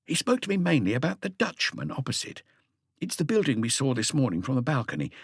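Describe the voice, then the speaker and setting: A man's voice: mid-range voice, husky, no room sound, studio quality. A man voicing an earnest, slightly awkward thirty-five-year-old police inspector reporting in careful detail in an audiobook. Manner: detailed, deferential reporting with asides.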